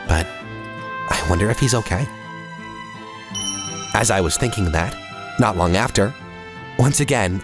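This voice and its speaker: A man playing an earnest, polite young businessman who narrates his own story in a comic drama dub. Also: sits low. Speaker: voice actor narrating and playing the lead.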